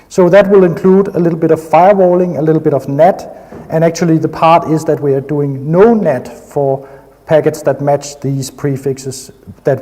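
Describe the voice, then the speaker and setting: A man with a Danish accent gives an enthusiastic technical talk at a conference, pausing often with hesitant fillers. His voice sits a little high yet sounds mellow and relaxed. The sound carries a long reverb from the hall. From Denmark. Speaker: conference speaker.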